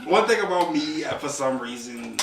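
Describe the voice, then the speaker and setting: A young man bantering casually as a podcast co-host, halting and repeating words as he goes. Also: on the high side, lively intonation, creaky, background noise. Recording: echoey room, distant mic.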